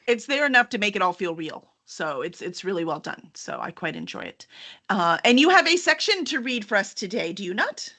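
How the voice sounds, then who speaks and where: A woman with a bright, forward voice hosts a casual book interview. Her questions and comments are conversational and informal. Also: medium pitch, very animated, fairly quick. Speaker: interviewer, host.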